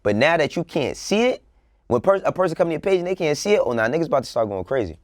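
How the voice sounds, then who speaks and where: A man's voice: fairly high pitch, very animated, quick pace, no room sound, clean studio sound. A man co-hosting a casual panel show, talking bluntly in slang with swearing and repeating himself for emphasis. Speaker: show co-host giving opinions.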